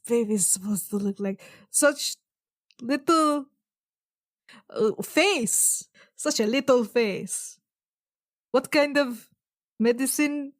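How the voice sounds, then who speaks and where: A woman's voice: fairly high pitch, fairly bright tone, dry recording, studio quality. A woman voicing a clueless, awkwardly polite tiny blue fish man adventurer in a tabletop game, stammering and fumbling. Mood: feigned admiration.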